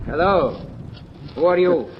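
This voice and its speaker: An actor playing an evasive foreign professor in an old-time radio drama, speaking formal, halting, deliberate English. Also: male voice, a little high, animated. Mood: guarded, polite. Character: evasive foreign professor, keeper of a small zoo. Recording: muffled, noisy vintage radio recording.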